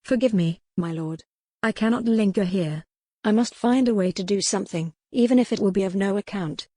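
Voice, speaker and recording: A woman's voice: medium pitch, brisk, mellow, no echo, clean studio sound, natural voice. A woman voicing a remorseful yet determined young noblewoman in a historical romance audiobook. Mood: pleading. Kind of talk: dramatic audiobook character reading.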